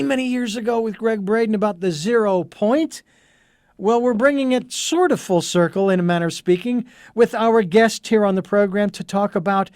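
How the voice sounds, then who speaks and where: A man's voice: high-pitched, dry recording, studio quality. A middle-aged man hosting a radio interview show, speaking earnestly with a meandering flow and many fillers. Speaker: radio talk show host.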